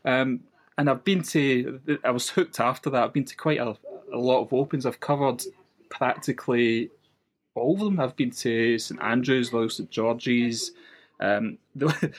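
A man in his thirties with a Scottish accent, a podcast guest chatting casually and reminiscing with restarts. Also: medium pitch. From west coast of Scotland. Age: 30s. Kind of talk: casual chat.

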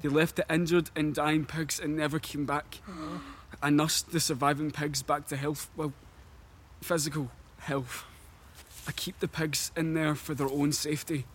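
A man acting in a horror radio play as an agitated, tormented recluse who tends abandoned pigs, speaking urgently and haltingly. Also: on the high side, little pitch movement, a little hiss or hum, close to an everyday voice. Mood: grim, explaining.

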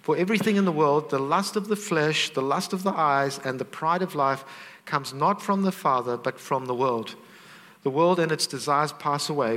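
A man preaching in a reverberant church hall, his voice sitting a little high. He talks earnestly and conversationally and turns to the congregation with asides. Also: a bit bright. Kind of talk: sermon.

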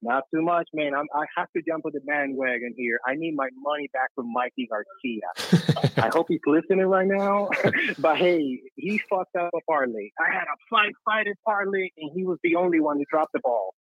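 A man's voice: on the high side, expressive, moderate pace, a bit bright. A man from Cuba with a Cuban Spanish accent, calling in to vent casually and laughing as he talks. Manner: venting, laughing, easygoing. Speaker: caller. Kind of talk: casual phone-in chat. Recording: tinny dry phone line.

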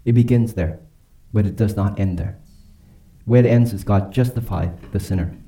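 Male pastor, deeply warm dark timbre, earnest and emphatic preaching, slows for weight. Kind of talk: sermon and closing prayer.

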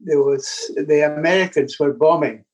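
An older man from Scotland, a guest telling family stories over a video call, speaking in a halting way with many restarts. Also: a little high, rough recording.

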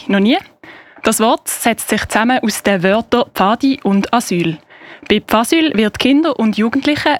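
A woman's voice: medium pitch, no room sound. A woman with a Swiss German accent announcing an event as a guest in a radio studio.

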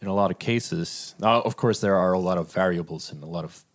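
A man's voice: low voice, brisk. A man talking informally and conversationally as a podcast co-host in a casual film discussion.

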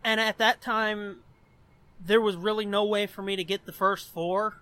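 A man's voice: high voice, fairly even intonation, moderate pace, sharp tone. An American man in his twenties hosting a podcast, chatting casually and telling personal stories in a rambling, profanity-laced way. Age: 20s. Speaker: podcast host.

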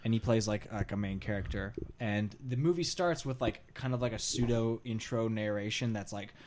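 A man's voice: on the low side, moderate pace, a little mellow. A man co-hosting a podcast, chatting casually with joking, occasionally sweary banter. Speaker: pop culture podcast co-host. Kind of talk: casual group chat.

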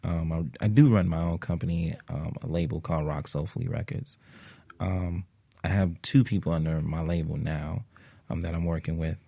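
Male musician guest. Very low voice, halting casual answers, dry studio-tight sound. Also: steady tone, creaky, dark tone, dry recording. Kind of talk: casual interview chat.

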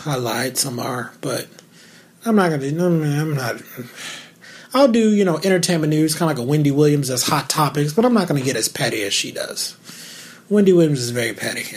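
A man with a naturally raspy voice, hosting his own talk show alone, talking candidly and cracking asides. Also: mid-range voice. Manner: candid and joking, with asides and filler words. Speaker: podcast host. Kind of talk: solo casual talk show.

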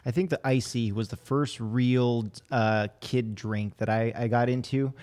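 A man's voice: mid-range voice, steady tone, a little slow, a little mellow. A man in his thirties from Hawaii, a food truck owner chatting easily as a podcast guest. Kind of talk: casual interview chat.

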